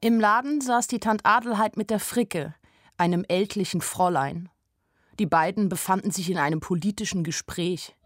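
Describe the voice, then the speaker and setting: A woman's voice: mid-range voice, normal speed, dry recording, soft background music, lightly acted. Actress as a melancholy young shopgirl narrating, close and dry. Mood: wry, reflective. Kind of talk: first-person radio play narration.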